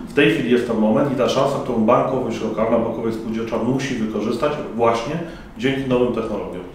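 A man's voice: mid-range voice, a little mellow, a little hiss or hum. Formal middle-aged man in echoey hall. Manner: measured, formal and explanatory. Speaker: conference panel moderator. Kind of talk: formal interview answers. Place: conference hall by a banner. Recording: echoey room from far off.

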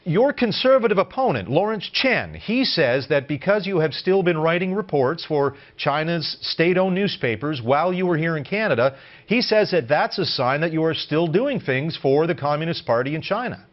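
A middle-aged male news anchor asks questions from a television studio. His voice is a bit high, and the broadcast sound is slightly muffled. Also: expressive, normal speed. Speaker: news host.

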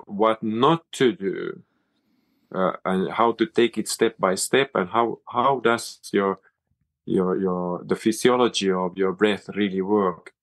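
A man from Finland with a Finland-Swedish accent talks in a low voice, stopping and restarting as he hunts for words in English. The sound is dry and close, with no room around it. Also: dry recording. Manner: halting, many restarts and fillers. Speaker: guest, yoga and cold-water teacher. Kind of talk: casual interview talk.

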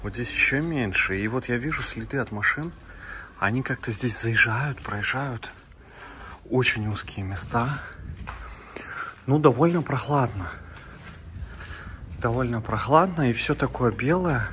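A man's voice: medium pitch, expressive, measured pace, long pauses. A man from Kazakhstan casually narrating a travel vlog, chatty and talking to his viewers as friends while walking streets and driving. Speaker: travel vlogger. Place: outdoors in narrow town streets and in a car. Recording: outdoor noise in background.

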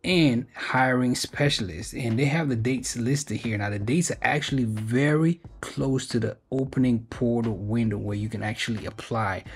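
A man's voice: medium pitch, normal speed, few pauses, husky. A man hosting an informal explainer video, reading through a web page in a conversational way and stressing key points. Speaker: video host sharing job openings.

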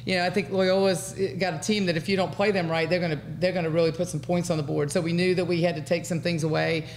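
A middle-aged American woman, a basketball coach answering at a press conference in a measured, halting way. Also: lowish voice, level tone. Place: indoor press conference room. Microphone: table microphone. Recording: faint room noise.

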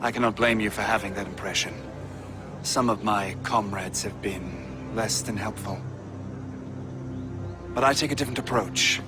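A man's voice: on the low side, lightly acted. A man playing a formal older army colonel. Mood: earnest. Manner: formal, measured. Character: courteous older male colonel, earnest. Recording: game audio with music behind.

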